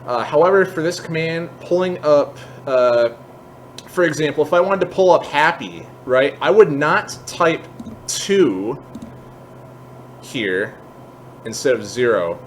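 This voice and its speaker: A man giving a casual software tutorial, explaining each step in an informal, candid way as he thinks aloud. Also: a little high, animated, a little slow, slight background noise. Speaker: tutorial host.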